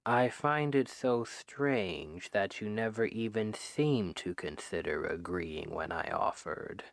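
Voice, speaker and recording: A man playing a suave, menacing, possessive demon king who woos his captive with slow, deliberate, softly condescending speech in an ASMR roleplay, close on the microphone. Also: fairly low pitch, lively intonation, creaky, no room sound, heavily acted. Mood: hushed, vulnerable. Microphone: close microphone, dry.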